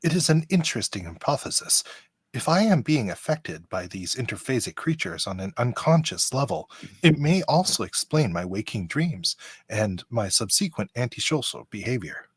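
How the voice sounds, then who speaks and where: A man's voice: mid-range voice, sing-song, normal speed, breathy edge, dry recording. A man playing a polite, literal-minded android officer in a live radio-theatre reading, formal and precise. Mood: calm, analytical. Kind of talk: podcast live script reading.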